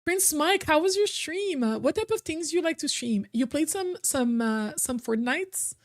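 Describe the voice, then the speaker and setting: High-voiced chatty woman streamer. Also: lively intonation, a little slow. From Quebec, originally Cameroon. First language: French. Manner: chatty, lots of "like" and repeats. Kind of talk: casual live-stream chat.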